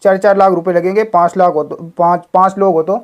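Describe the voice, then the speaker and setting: A man's voice: sits high, steady tone, a little fast. A man talking on a phone call, explaining and questioning, repeating himself for emphasis. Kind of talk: phone conversation.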